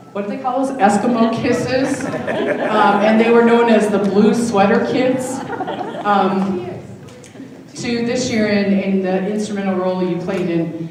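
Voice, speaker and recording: A woman, likely middle-aged, giving an informal, affectionate thank-you speech as a school drama director. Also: mid-range voice. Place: large indoor hall at a live event. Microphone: distant event microphone. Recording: very echoey hall from far off, faint noise.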